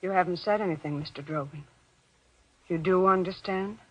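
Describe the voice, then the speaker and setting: A woman playing a clear-headed doctor's wife in golden age radio drama, measured and formal. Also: lowish voice, close to an everyday voice. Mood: firm, reasoning. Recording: dull lo-fi old radio recording.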